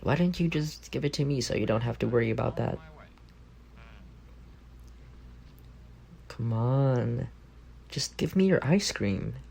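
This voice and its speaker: A man's voice: medium pitch, lively intonation, moderate pace, creaky, a little mellow, husky. A man playing an affectionate, teasing young roommate in an intimate ASMR roleplay, speaking in a playful, tender way.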